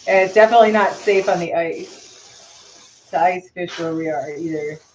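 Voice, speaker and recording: A woman chatting casually on a live stream as she paints fishing lures, rambling and hesitant with lots of fillers, her voice a bit hoarse. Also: lowish voice, normal speed, highs cut off, a little hiss or hum. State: slightly hoarse after losing her voice. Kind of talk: casual live-stream chat while working.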